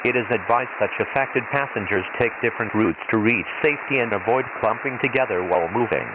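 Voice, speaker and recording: A man playing an impersonal imperial weather announcer on a desert planet, reading a formal, measured hazard bulletin through a radio filter. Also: lowish voice. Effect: radio transmission filter. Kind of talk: scripted emergency weather bulletin, read like automated weather radio. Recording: hissy, noisy shortwave-style radio transmission.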